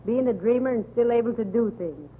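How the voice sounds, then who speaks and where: A woman playing a sensible, wry wife who gently needles her husband in a 1930s radio comedy. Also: a little high, animated, brisk, slightly rough. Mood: wry teasing. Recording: tinny, noisy 1930s radio broadcast.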